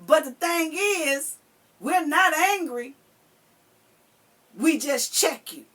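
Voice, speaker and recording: A woman with a high voice talks slowly and deliberately through a commentary monologue. She is blunt and profane, hammers the same phrases again and again, and sometimes raises her voice to drive a point home. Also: expressive, sharp tone. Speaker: video commentator replying to another video.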